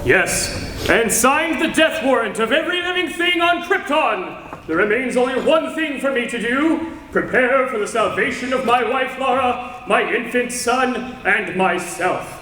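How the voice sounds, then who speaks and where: A man's voice: very high-pitched, expressive, measured pace, sharp tone, full character voice. A man acting a grave, passionate young alien scientist foretelling doom in solemn, declamatory old-time radio drama style. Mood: bitter, ominous. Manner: solemn, declamatory, melodramatic delivery. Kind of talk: 1940s radio serial acting recreated on stage. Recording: echoey hall recording with background noise.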